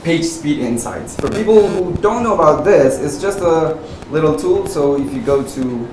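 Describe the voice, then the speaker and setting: A man from Nepal, in his thirties, speaking at a tech meetup in a relaxed, chatty way with lots of "uh" and "right?". His voice sits at a middle pitch and carries the echo of a big room. He sounds a good way from the mic, with clear background noise. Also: moderate pace. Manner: conversational, frequent "uh" and "right?" tags. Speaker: conference speaker. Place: meetup venue, audience present. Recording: echoey room from far off, noisy.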